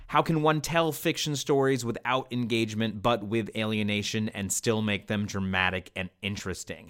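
A man's voice: lowish voice, fairly bright tone. A man hosting a podcast, introducing material in an explanatory, conversational way with casual asides. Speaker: podcast host.

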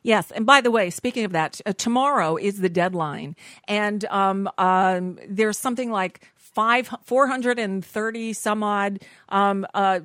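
A woman's voice: medium pitch, unhurried, a bit bright, no echo. A woman co-hosting a political podcast with blunt, disgusted commentary in a mid-range voice. The sound is close and dry. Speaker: podcast co-host.

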